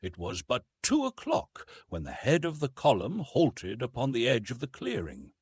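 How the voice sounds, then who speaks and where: A man narrating a classic novel in an expressive, literary storytelling style. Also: medium pitch, moderate pace, slightly rough, no room sound. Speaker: audiobook narrator.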